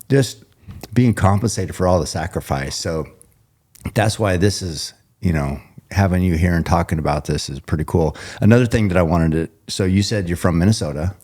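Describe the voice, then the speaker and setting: A man's voice: low-pitched, a little mellow. A middle-aged man hosting a podcast interview, talking in a loose, rambling way, often restarting his sentences and laughing. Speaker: podcast host. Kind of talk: casual interview chat.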